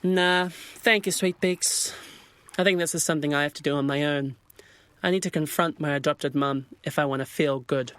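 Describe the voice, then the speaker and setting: A man's voice: on the high side, steady tone, moderate pace, fairly bright tone, clean tone, no echo, lightly acted. A young man playing an anxious, hurt misfit swan in a modern audio drama, speaking in a hesitant, conversational way. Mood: uneasy. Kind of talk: audio drama acting, naturalistic modern dialogue.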